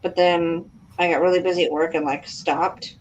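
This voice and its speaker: A woman's voice: fairly low pitch, steady tone, moderate pace. A woman talking informally and chattily in a casual book discussion. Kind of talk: casual book club chat. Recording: dull lo-fi audio, background noise.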